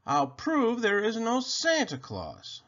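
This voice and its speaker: A man's voice: extremely high pitch, wildly swooping pitch, slow, fairly bright tone, voice pushed up, heavily acted. A man voicing a skeptical, scoffing young boy in a storybook reading, insistent and know-it-all. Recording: dull lo-fi recording.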